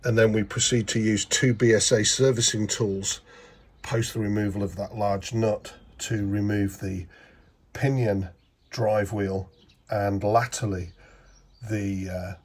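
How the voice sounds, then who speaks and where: A middle-aged man with a congested, cold-affected voice, talking through a hands-on job in a halting, explanatory way. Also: fairly low pitch, flat delivery, slightly rough. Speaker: hobbyist mechanic narrating a video. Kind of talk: casual how-to narration. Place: home garage.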